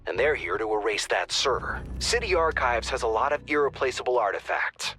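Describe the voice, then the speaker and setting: An actor playing a wry male operations dispatcher who briefs the squad in a dry, quick manner. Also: medium pitch, expressive, sharp tone. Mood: briefing. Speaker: mission dispatcher. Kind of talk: video game voice acting.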